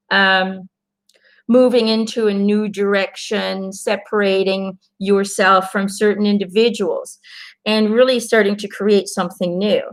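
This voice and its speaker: A Belgian woman whose first language is Dutch, doing a casual, earnest card reading for viewers and encouraging them with plenty of fillers and restarts. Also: medium pitch. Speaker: intuitive card reader.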